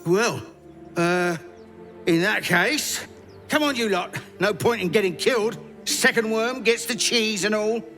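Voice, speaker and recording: Blustering little male gang boss for a game: high, forceful, exasperated swings over faint music. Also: measured pace. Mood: bossy, irritated. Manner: loud, exasperated, bossy. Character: blustering, irritable short male treasure-hunter gang boss. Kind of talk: video game acting.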